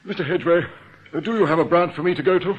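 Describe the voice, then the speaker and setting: Guy playing touchy bank manager in an old radio drama, terse, rapid, muffled sound. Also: high voice, natural voice. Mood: insistent. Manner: terse, insistent. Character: prickly, defensive male bank manager back from illness. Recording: dull, hissy old radio recording.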